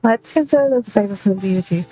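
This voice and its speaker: A woman's voice: medium pitch, animated, quick pace, warm tone. A woman casually commenting as she streams a video game. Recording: dull lo-fi mic, game music.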